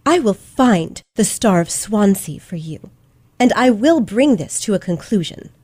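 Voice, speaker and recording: A woman's voice: medium pitch, animated, a little slow, mellow, slightly rough. A woman playing a confident, shrewd teenage girl detective in an old-fashioned radio play, speaking formally and theatrically. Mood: firm.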